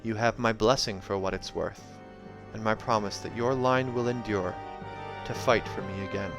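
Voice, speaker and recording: A hoarse man voicing a bound ancient goddess, speaking slowly and solemnly in a hushed voice. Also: lowish voice, fairly even intonation, music in the background, natural voice.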